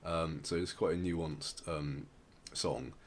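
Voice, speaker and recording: A man hosting a podcast, talking casually and presenting in a halting, hesitant way. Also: a bass voice, fairly even intonation. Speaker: podcast host. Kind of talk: casual podcast presenting with some read-out passages. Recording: noticeable background noise.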